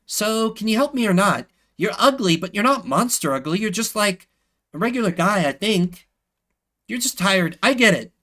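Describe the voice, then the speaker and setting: A man voicing a cheeky, tough, energetic young girl in a video game, speaking in blunt, childlike phrasing. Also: sits high, normal speed, pitched above the performer's own voice. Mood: blunt, pleading.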